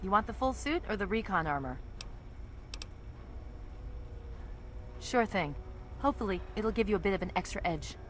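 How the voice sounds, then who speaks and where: A woman playing a confident, battle-hardened soldier leading her squad in a video game, brisk and commanding with a wry edge. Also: mid-range voice, lively intonation, slight background noise, lightly acted. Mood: friendly, wry.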